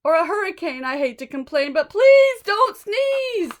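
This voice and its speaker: Woman as a high, fretful, pleading storybook buffalo. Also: very animated, unhurried, full character voice. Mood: alarmed pleading. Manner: loud, pleading, rhythmic rhymed delivery.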